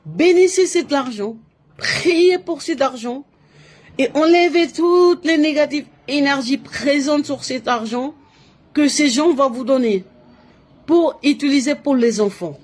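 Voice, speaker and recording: A woman's voice: sits high, lively intonation, a little slow, a little mellow. Emphatic woman from Latin America. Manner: emphatic, repetitive, uses many fillers and restarts. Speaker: spiritual live-streamer. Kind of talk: casual live-stream monologue.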